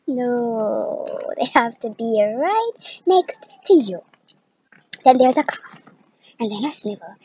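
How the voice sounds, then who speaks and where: An exasperated woman complains emphatically about other people's germs as acted by a woman for an audition monologue. Her voice is high and her delivery is theatrical, with deliberate pauses. Faint noise sits behind her. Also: full character voice, rough recording.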